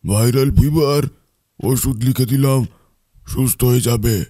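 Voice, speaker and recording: A man playing a calm, reassuring doctor in a cartoon. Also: medium pitch, unhurried, no echo, clean studio sound, lightly acted. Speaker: village doctor. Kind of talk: cartoon voice acting.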